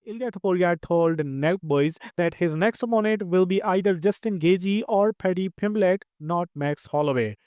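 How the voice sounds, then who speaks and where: A man with an Indian accent hosting a channel, reading combat sports news in a steady scripted way. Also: sits high, no echo, clean studio sound. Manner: quick, steady news read. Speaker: news host.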